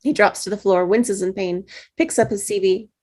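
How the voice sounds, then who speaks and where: A woman reading the stage directions at a script table read, steady with small slips. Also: medium pitch, moderate pace.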